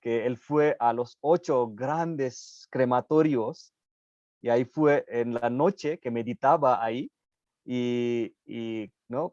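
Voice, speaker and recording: An unhurried man from India, speaking in a measured, halting way and often stopping to find the word he needs. His voice comes through a dull, muffled, low-fidelity recording with no room echo at all. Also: darkish tone. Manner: halting, searching for words, frequent pauses. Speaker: Buddhist practitioner guest. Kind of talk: reflective spiritual conversation.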